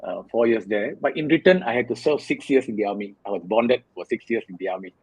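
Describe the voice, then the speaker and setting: A man in his fifties from Singapore, a guest in an interview, telling his story in an earnest, measured way with many fillers. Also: fairly low pitch, expressive, darkish tone, breathy edge, no room sound, low-quality recording. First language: Tamil. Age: 50s. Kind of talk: reflective conversational interview.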